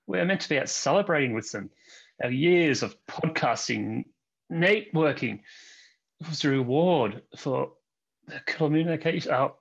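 Husky aussie guy on a footy podcast, dry short replies. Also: fairly high pitch. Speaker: podcast co-host. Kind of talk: podcast chat and table read.

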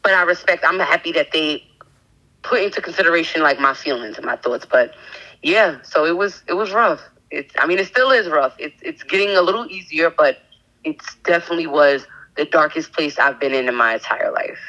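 A woman in her thirties, a podcast guest sharing a candid personal testimony in a halting way, with many fillers and restarts. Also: low voice, lively intonation, sharp tone, lo-fi sound. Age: 30s.

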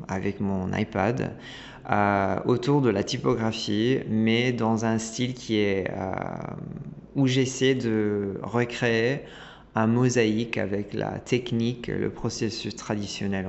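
A man's voice: on the low side, fairly even intonation, slow, gravelly, a little mellow, slight background noise. A man in his thirties who grew up in Italy, speaking French, talking as a podcast guest with lots of restarts and fillers. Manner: hesitant, many restarts and fillers. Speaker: guest designer. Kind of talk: casual interview talk. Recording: dull lo-fi sound with long reverb.

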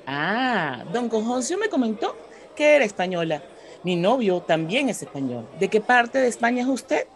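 Woman playing an animated, kindly schoolteacher. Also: on the high side, moderate pace. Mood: polite. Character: polite, kindly female schoolteacher, gentle and obliging. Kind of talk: radio drama acting.

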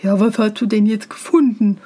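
A woman's voice: medium pitch, fairly even intonation, normal speed, mellow, close to an everyday voice. A woman voicing a conceited, condescending young elder brother in a storybook fairy tale, pompous and mocking. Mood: disdainful.

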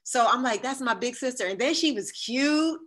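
A woman in her fifties with a deep, sultry voice, telling a personal story as a podcast guest and acting out the quoted dialogue. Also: normal speed. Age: 50s. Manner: animated, acts out quoted dialogue. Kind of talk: storytelling in a conversational interview.

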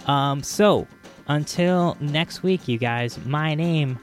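A man hosting a podcast, chatting casually and haltingly to his listeners with a fan's enthusiasm. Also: fairly high pitch, music in the background. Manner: halting, enthusiastic fan talk. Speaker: podcast host. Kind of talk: casual solo chat to listeners, commentary.

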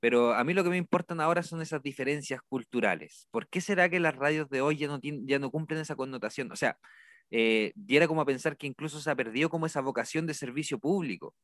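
Male interviewer, Chilean accent. Polite and appreciative, conversational, slightly raised pitch, little room sound. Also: fast talker, a bit bright, dry recording, clean studio sound.

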